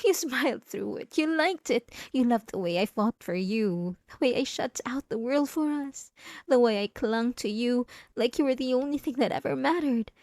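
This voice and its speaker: A woman playing a high-voiced, obsessive yandere wife. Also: expressive, darkish tone, no echo. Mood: angry, possessive. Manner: intimate, theatrical, drawn-out emphatic phrases. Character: possessive, obsessive yandere wife confronting her spouse. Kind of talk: ASMR roleplay, dramatic monologue.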